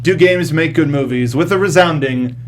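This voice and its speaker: A man's voice: on the high side, moderate pace, a little hiss or hum. A man hosting a casual panel talk show in a jokey, self-correcting manner. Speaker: host. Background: music.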